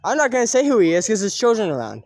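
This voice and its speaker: An excitable, theatrical vlogger improvising and play-acting for the camera while filming, often raising the voice to shout. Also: medium pitch, rapid-fire. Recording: very noisy handheld home video recording.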